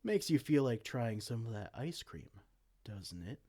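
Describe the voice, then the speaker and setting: A man playing a vain, silly, soft-hearted evil king in a comedic fantasy roleplay, pompous and theatrical but slipping into casual dorkiness. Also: mid-range voice, big pitch swings, unhurried. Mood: sly, savoring. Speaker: audio roleplay performer.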